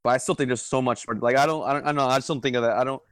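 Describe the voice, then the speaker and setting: A man in his twenties in casual conversation, easygoing, with halting phrasing as he disagrees with friends. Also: mid-range voice, fairly even intonation, no room sound, studio quality. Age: 20s.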